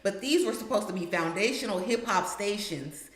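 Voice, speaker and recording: A woman's voice: medium pitch, expressive. A woman co-hosting a hip-hop talk show. She talks bluntly and casually. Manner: blunt, quick agreeing interjections. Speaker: podcast co-host. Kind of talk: casual chat. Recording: roomy echo.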